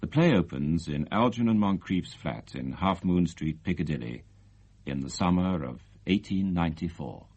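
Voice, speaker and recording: A man's voice: low-pitched, expressive, moderate pace, darkish tone, breathy edge. A man reading a formal scripted introduction as a radio announcer.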